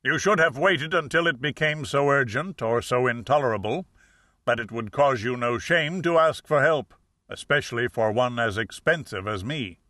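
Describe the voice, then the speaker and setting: A male narrator plays a hefty, imperious private detective from a mystery audiobook. He talks in blunt, formal, clipped pronouncements, with a bright edge, recorded dry. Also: mid-range voice, expressive, moderate pace, no echo. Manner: formal, curt, grunting and muttering.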